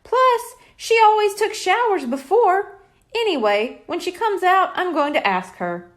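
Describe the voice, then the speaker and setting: Cheeky young brother for a children's audiobook, read by a woman, high, eager and very animated. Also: a little slow, exaggerated voice. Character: excitable young twin boy, cheeky and eager.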